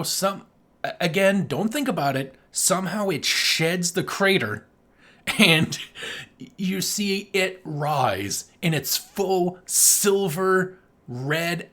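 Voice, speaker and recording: A podcast co-host with a medium-pitched, very animated voice that swings up and down. The delivery is casual and enthusiastic, with emphatic points and plenty of swearing, like an informal film review.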